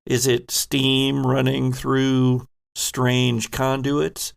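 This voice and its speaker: A man's voice: medium pitch, completely flat delivery, extremely slow, vocal fry, smooth. A man running a tabletop horror game as game master, improvising suspenseful narration. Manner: suspenseful, second-person scene-setting.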